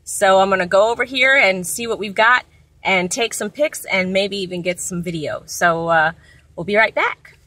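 A woman's voice: mid-range voice, normal speed, bright, forceful tone. A middle-aged American woman chatting casually to her vlog from inside a car. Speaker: vlogger. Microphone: phone at arm's length.

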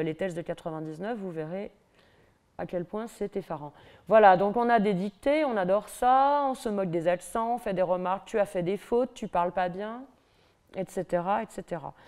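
A middle-aged woman with a Parisian accent giving a university lecture from a lectern in a large hall, lively and ironic, with mocking asides. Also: medium pitch. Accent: Parisian French.

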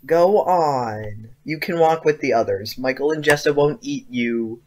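An inquisitive teenage schoolboy acted by a man in an audio play. He has a medium-pitched male voice. He talks casually but insistently, with an earnest, persistent temper. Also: unhurried, lightly acted. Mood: dismissive. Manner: casual youthful phrasing, insistent. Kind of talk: audio drama acting.

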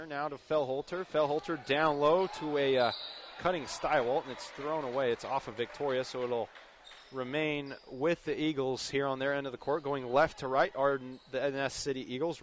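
A young man doing live radio play-by-play commentary of a basketball game as the announcer. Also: medium pitch. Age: young adult. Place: indoor high school basketball gym. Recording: clear gym noise behind commentary. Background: game noise, bouncing basketball.

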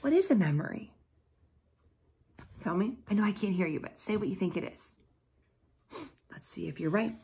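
A middle-aged woman reads a picture book aloud to children, deliberately, pausing to ask them questions. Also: mid-range voice, expressive, a little mellow. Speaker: teacher reading aloud to children. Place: small indoor room at home.

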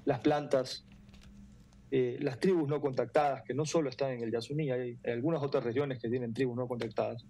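A middle-aged man, explaining earnestly and haltingly as an interview guest on a video call. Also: medium pitch, fairly even intonation, mellow. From Ecuador. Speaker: foundation president, interview guest. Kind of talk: interview answers. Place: room indoors at home or office.

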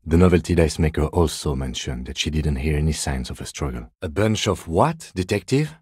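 An actor playing a composed, dry-witted police lieutenant in a video game, measured and formal, with a husky voice. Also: male voice, very deep voice, animated, darkish tone, dry recording, exaggerated voice. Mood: restrained, formal. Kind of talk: scripted game dialogue.

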